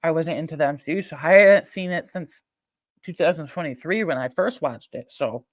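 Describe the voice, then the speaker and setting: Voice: medium pitch, fairly quick, no echo. Casual movie reviewer, dry close sound. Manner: emphatic, rambling, slangy, with self-interruptions and asides. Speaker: video host, film reviewer. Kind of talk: casual ranking commentary to viewers.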